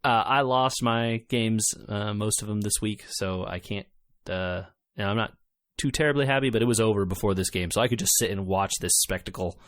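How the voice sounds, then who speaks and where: A man's voice: on the low side, a little fast, few pauses, fairly bright tone, no echo, studio quality. A man co-hosting a podcast in casual sports chat, talking in a loose, hesitant way. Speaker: podcast co-host.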